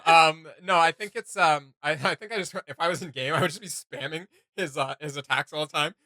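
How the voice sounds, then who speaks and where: A man's voice: fairly high pitch, fairly quick, sharp tone, no echo, clean studio sound. A man hosting a reaction video, chatting informally and enthusiastically. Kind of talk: casual reaction commentary.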